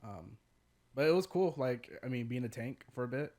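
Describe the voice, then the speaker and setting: A man co-hosting a podcast, chatting about games in a halting, laid-back, rambling way. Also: medium pitch, lively intonation, dark tone. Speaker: podcast co-host. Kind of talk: casual gaming chat.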